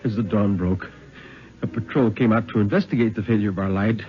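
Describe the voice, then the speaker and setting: A man playing a steely, haunted lighthouse keeper who recounts his ordeal with dramatic, measured urgency in a 1950s radio reading. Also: on the low side, faint music behind, lightly acted. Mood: grim, urgent. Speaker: story reader. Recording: dull vintage radio recording.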